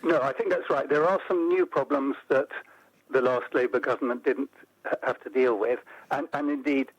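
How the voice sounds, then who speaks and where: Older British man, measured and gravelly. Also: mid-range voice, fairly even intonation, no room sound, muffled recording. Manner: measured, explanatory, builds points step by step. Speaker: interview guest, policy analyst. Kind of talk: radio interview.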